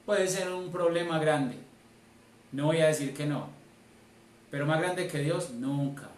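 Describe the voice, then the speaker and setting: A man's voice: on the high side, a little slow. A Colombian man, a church elder, preaching a sermon in an emphatic way, repeating key phrases. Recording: roomy, distant mic, faint noise.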